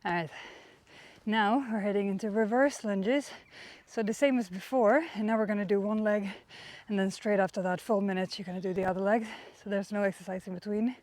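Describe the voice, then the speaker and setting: A woman with a Swedish accent, a native Swedish speaker, leading a workout while exercising. She is chatty and out of breath, and pauses to search for words. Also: medium pitch, fairly even intonation, a little mellow, dry recording. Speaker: fitness instructor. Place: outdoors.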